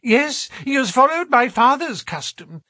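High-pitched nervous gentleman, genteel, fretful, plaintive, very lively intonation, audiobook character. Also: a little slow, no room sound, clean studio sound, heavily acted. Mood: uneasy. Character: fussy, anxious, plaintive gentleman, easily flustered.

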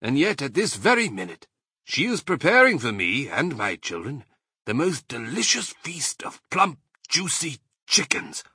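A man's voice: fairly high pitch, wildly swooping pitch, unhurried, sharp tone, slightly rough, no room sound, studio quality, pitched above the performer's own voice, exaggerated voice. A man voicing a sly, courteous fox father in a children's storybook, suave and savouring his words. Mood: proud, generous.

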